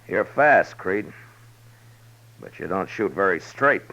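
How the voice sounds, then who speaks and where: An actor playing a tough, steady American frontier marshal in a 1950s radio western, speaking tersely and with understatement. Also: male voice, low voice, slow pace, full character voice. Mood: defiant. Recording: muffled, hissy old radio recording.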